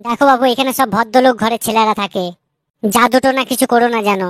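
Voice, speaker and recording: A man voicing a cheeky young prankster in a cartoon, high and taunting. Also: fairly even intonation, fast, slight background noise. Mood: teasing. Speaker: cartoon character.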